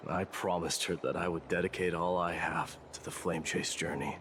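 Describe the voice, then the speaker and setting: A man acting a grave, deep-voiced warrior king. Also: steady tone, moderate pace, noisy background, lightly acted. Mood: calm, resolute. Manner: grave, formal, declamatory. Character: proud solemn young warrior king, newly ascended war demigod. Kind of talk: scripted game cutscene drama. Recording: game dialogue under loud music.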